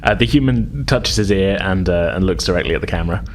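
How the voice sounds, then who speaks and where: A man as game master, narrating a tabletop roleplaying game in a halting, conversational way. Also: low voice, a little hiss or hum.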